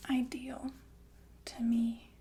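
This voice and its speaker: A woman playing a softly menacing, falsely soothing killer nurse in a whispered ASMR roleplay, halting and casually polite. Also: a little high, little pitch movement, slight background noise. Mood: calmly menacing. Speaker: ASMR performer.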